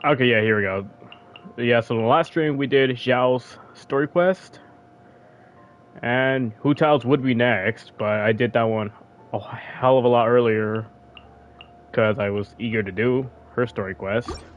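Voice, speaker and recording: A man's voice: mid-range voice, unhurried, lo-fi sound. A man live-streaming a video game, chatting in a loose, rambling, informal way. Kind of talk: casual live-stream chat while playing. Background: faint game music.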